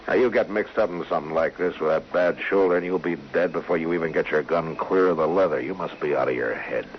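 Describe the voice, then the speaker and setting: An actor playing a stern, steady frontier lawman in an old-time radio western, terse, blunt and commanding. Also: sits low, fast, lightly acted. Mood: firm, warning. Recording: muffled, noisy old radio recording.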